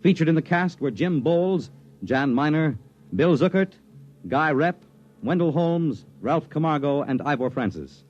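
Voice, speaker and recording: A man's voice: fairly high pitch, animated, measured pace, a little mellow. A man with an American accent, announcing formally from a script in 1950s network radio style. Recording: muffled vintage 1950s radio broadcast.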